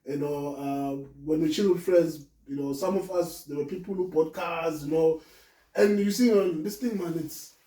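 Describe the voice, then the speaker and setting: A man hosts a laid-back podcast interview, backing up his guest and asking questions warmly. His voice sits high and is dark in tone. The sound is echoey and distant. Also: normal speed. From South Africa. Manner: encouraging, with frequent back-channel "mm". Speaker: podcast host. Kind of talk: casual interview conversation.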